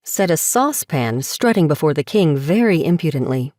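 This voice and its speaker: A female narrator reads a scripted story. Her voice sits slightly low, and it is recorded close and dry, with no room sound. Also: very animated, moderate pace, a little mellow, no room sound.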